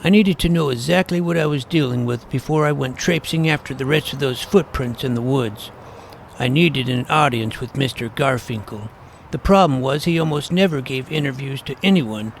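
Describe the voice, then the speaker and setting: A man's voice: mid-range voice, moderate pace, vocal fry, slight background noise, close to an everyday voice, poor audio. A man playing an earnest, wordy schoolboy paranormal detective who narrates his own adventure in an audio drama. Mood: earnest, eager. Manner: precocious, wordy, earnest, mock-serious. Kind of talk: first-person scripted audio drama narration.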